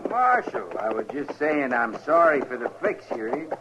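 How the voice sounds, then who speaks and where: An actor playing an insolent American outlaw prisoner who needles with mock sympathy in a 1950s radio western. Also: male voice, mid-range voice, big pitch swings, moderate pace, close to an everyday voice. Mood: taunting. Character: taunting outlaw prisoner, a murderer, slyly insolent. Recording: noisy muffled 1950s radio recording.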